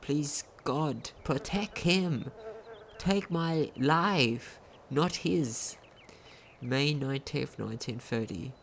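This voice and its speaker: Slow, creaky-voiced game commentator. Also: medium pitch. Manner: stumbles over words when reading aloud. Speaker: gaming video commentator. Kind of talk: casual game commentary and reading in-game text aloud. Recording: home recording with faint noise.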